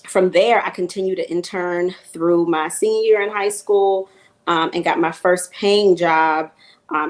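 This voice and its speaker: A woman from New York, a music marketing executive, telling her career story steadily with frequent ums. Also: sits low. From Queens, New York.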